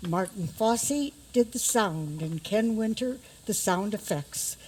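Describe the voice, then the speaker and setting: A woman serves as announcer for a radio theater production. She reads scripted announcements slowly in a middle-pitched voice. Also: animated, a little mellow, breathy edge, low-quality recording.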